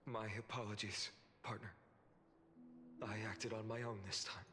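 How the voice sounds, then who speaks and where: Noble young male leader, video game, low voice, sincere, measured, reverb, music behind. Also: steady tone, bright, forceful tone. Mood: heartfelt.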